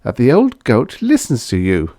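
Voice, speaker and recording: A man's voice: medium pitch, wildly swooping pitch, normal speed, mellow, no echo, clean studio sound, pitched above the performer's own voice. A man voicing a teasing, pert young wife in an audiobook story, with slangy, playful delivery.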